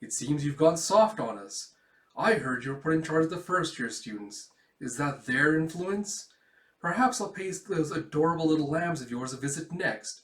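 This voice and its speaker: A man voicing a severe, pompous old woman, a school disciplinarian who relishes punishment, in an animated story retelling. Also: on the high side, normal speed. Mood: stern, haughty. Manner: formal, pompous, menacing. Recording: echoey room.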